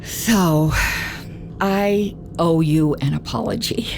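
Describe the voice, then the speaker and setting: An actress playing a candid, gently teasing love interest in a detective radio drama, speaking in a sincere, conversational way. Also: female voice, sits low, slow, a little hiss or hum. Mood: apologetic.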